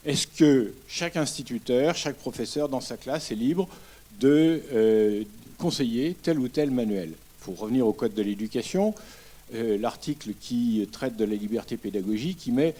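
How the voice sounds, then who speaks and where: A man speaking from the floor at a public debate, explaining his point in a measured way with many hesitations. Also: mid-range voice, lively intonation, mellow. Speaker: audience member at a public debate.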